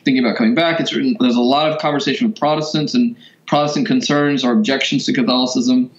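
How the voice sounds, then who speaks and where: An American man, a guest explaining things in a measured, articulate way in an interview. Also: mid-range voice, roomy sound, dull audio. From Georgia, United States. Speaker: guest, priest and author.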